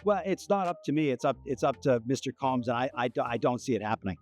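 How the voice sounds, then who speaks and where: A man's voice: mid-range voice, expressive, fast, soft background music. A man answering interview questions spontaneously, repeating phrases for emphasis. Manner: emphatic repetition.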